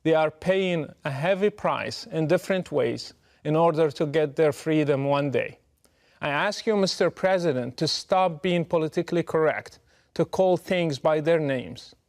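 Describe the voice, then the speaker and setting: A man speaks English with a Moroccan Arabic accent in a fairly high-pitched voice. He delivers a prepared address earnestly, leaning on rhetorical questions and firm emphasis. His phrasing is measured and deliberate. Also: a little mellow. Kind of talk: prepared political address to camera.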